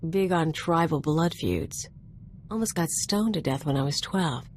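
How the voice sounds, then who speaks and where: A woman's voice: sits low, expressive, measured pace, darkish tone, a little hiss or hum. A woman playing a brash, guarded young female sidekick in a video game, speaking in a blunt, casual way. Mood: distracted, guarded. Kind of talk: scripted video game acting, conversational.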